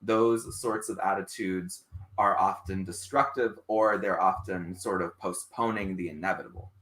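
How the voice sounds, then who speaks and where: Solo streamer, male, first language Spanish, lowish voice, loses his thread and uses filler words. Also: steady tone, moderate pace, a bit bright, low-quality recording. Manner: loses his train of thought and reacts to chat comments. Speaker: live-stream host. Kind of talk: solo live stream talk.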